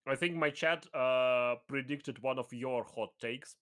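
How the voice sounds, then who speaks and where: A man chatting as a guest in a casual debate, his voice of middling pitch. He rambles through restarts and fillers, laughs often and swears offhandedly. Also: unhurried, fairly bright tone.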